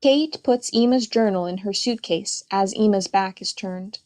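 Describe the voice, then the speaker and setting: A woman's voice: medium pitch, a little slow, darkish tone. A woman reading stage directions as scripted narration for a play reading.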